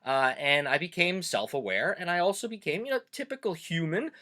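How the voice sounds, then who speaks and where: A man with a bright, forward voice talks through a casual monologue as host. His pitch swings widely, and he keeps a chatty, animated flow full of little "uh" pauses. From Pennsylvania Dutch country, USA. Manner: chatty and animated, frequent "uh" fillers. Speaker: host and teacher.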